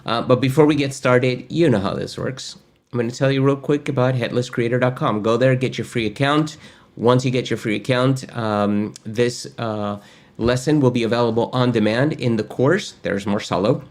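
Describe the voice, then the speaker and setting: A man's voice: mid-range voice, normal speed. Man, middle-aged, upbeat webinar host, chatty with fillers, home studio. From Argentina. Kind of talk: casual webinar hosting. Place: home studio with gaming chair.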